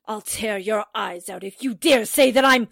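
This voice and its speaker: A woman's voice: mid-range voice, normal speed, creaky, a bit bright, dry recording, studio quality. A woman playing an unhinged, vengeful married murderess in a theatrical, volatile 1940s-style radio drama. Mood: enraged, threatening.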